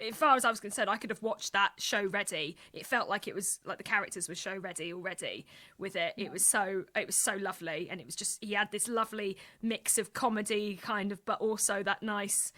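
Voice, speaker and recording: British woman, really bright voice, chatting casually and gushing over old memories. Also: medium pitch, brisk. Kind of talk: casual reminiscing chat.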